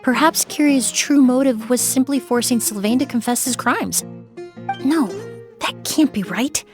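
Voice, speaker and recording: A woman voicing a composed, thoughtful young perfumer in a video game, calm and reflective. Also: sits high, unhurried, music in the background. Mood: puzzled.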